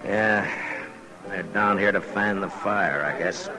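An actor playing a calm, weary frontier marshal in a 1950s radio western, measured and dryly authoritative. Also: male voice, low-pitched, over music. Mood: relaxed. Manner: measured, laconic, dry. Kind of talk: 1950s radio drama acting. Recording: noisy old radio recording.

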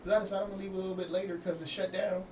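A man co-hosting a casual podcast, telling stories in an animated way and swearing freely, recorded in an echoey room a little away from the mic with noise behind him. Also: high-pitched, racing, distant mic. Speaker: podcast co-host. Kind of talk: casual chat. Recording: roomy, off-mic, noisy background.

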